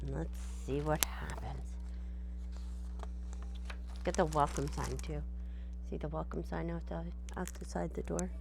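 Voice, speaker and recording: A woman's voice: low-pitched, slow pace, creaky, long pauses, background noise. A woman teacher reading a storybook aloud and patiently explaining it in simple terms, often checking in with "right?".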